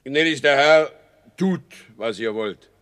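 An actor playing a proud, wary horse dealer in a radio drama, respectful but firm, speaking formally and deliberately. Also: male voice, medium pitch, expressive, fairly bright tone, clean tone. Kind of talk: German radio play acting.

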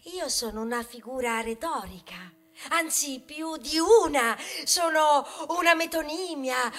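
A woman's voice: high-pitched, a little slow, fairly bright tone. An actress playing a proud, aggrieved male grain of wheat in a satirical stage monologue, theatrical and plaintive, swelling into declamation and confiding in asides. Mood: pompous lecturing. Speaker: stage actor performing a monologue. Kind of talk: theatrical satirical monologue, rhetorical, with bursts of song.

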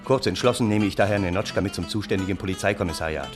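A man acts a flustered gentleman of old Russia in a radio play. His voice sits fairly low, with clear music around it. He recounts his troubles earnestly, in literary phrasing, as a loyal and aggrieved friend. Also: rapid-fire, close to an everyday voice. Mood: earnest, troubled. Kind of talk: radio play narration and dialogue.

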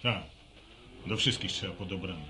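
An actor playing a resentful, sardonic young farmer son, grumbling and flaring up in radio drama style. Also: male voice, low voice, moderate pace, over music, lightly acted. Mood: resentful outburst. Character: hard-working, resentful farmer son, gruff and sarcastic. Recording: roomy, faintly noisy radio recording.

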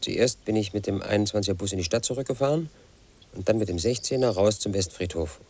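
An actor playing a brooding, paranoid man in his thirties, telling his own story as a flat inner monologue in a radio play. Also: fairly low pitch, fast. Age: 30s. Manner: flat, brooding, quietly obsessive.

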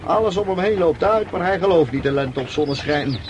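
A man's voice: fairly high pitch, animated, fairly quick, lightly acted. An actor playing a simple, deferential coachman in a radio drama, chatty, folksy and delighted. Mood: cheerful wonder. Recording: muffled, noisy old radio drama recording.

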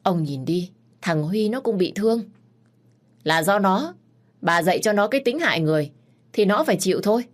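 A woman's voice: mid-range voice, animated, moderate pace, pitched above the performer's own voice, natural voice. Woman doing a stern, scolding landowner man. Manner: curt, scolding. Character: stern, short-tempered rich landowner man.

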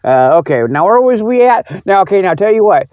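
A man's voice: high voice, big pitch swings, fairly quick, dry recording, narrow, tinny audio. A man hosting a radio show with a casual, rambling comic monologue, speaking in a folksy, colloquial way and teasing his listeners. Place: cold spot, apparently outdoors.

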